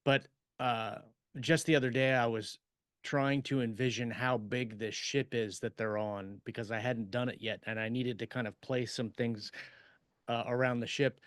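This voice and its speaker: A man talks casually as a podcast co-host about his craft. His voice sits at a middle pitch and he circles back to rephrase as he goes. The sound is dry, with very little room. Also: fairly even intonation, moderate pace, fairly bright tone, clean tone, no room sound. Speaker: podcast co-host and science fiction writer. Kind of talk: casual shop-talk chat.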